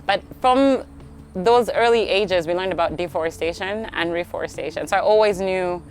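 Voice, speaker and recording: A woman's voice: lowish voice, moderate pace, a little hiss or hum, low-quality recording. A young woman from Uganda, answering interview questions outdoors in a chatty, reflective way, often saying "like". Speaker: guest, tree program coordinator. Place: outdoors at a tree nursery.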